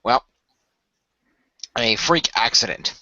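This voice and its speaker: A man playing a calm, formal mentor of a secret hero team in an amateur radio play, speaking in measured, hesitant, diplomatic phrases. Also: mid-range voice, no echo, exaggerated voice. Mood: reassuring, careful. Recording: dry, dull lo-fi voice track.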